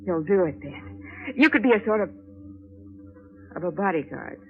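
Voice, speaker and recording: A woman's voice: on the low side, expressive, moderate pace. An actress playing an uneasy, well-off woman client, hesitant and genteel, in 1940s radio drama style. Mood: composed, coy. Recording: tinny noisy old radio recording.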